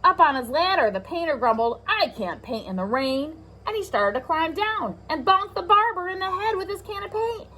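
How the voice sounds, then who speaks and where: A woman reading a picture book aloud to young children, expressive, with chatty asides to her listeners. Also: very high-pitched, brisk, few pauses, low-quality recording. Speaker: pre-K teacher reading aloud.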